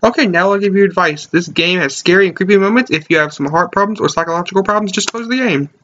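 A man's voice: high voice, quick pace, a bit bright, a little hiss or hum. A young man hosting a casual let's play in his bedroom, joking and reading game text aloud. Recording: lo-fi webcam audio with game music.

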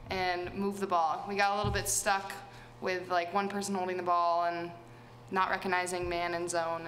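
A woman's voice: mid-range voice, a bit bright, low-quality recording. A young woman college player answering questions at a post-game press conference, casual, with lots of "like" and "um". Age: young adult. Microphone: table microphone.

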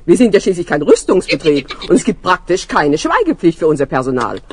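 Eine Frau spielt in einem Kinderhörspiel eine stolze, geschäftsmäßige und selbstsichere Firmendirektorin. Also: female voice, lowish voice, very animated, a little fast, slightly rough. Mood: proud. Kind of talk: children's audio play acting.

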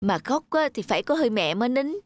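High-voiced, patient young mother. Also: sing-song, fast, no room sound. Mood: mildly chiding. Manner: soothing, maternal. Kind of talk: cartoon drama dubbing.

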